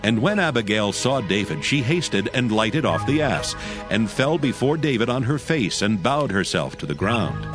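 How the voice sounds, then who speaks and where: A man's voice: on the low side, big pitch swings, normal speed. A man narrating scripture as a scripted dramatic reading. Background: music.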